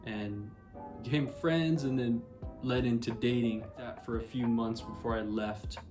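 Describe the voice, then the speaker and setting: A man's voice: medium pitch, fairly even intonation, measured pace, music in the background. A young man from Colorado co-hosting a casual couple chat, easygoing and joking as he tells a story. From Colorado, western United States. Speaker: co-host.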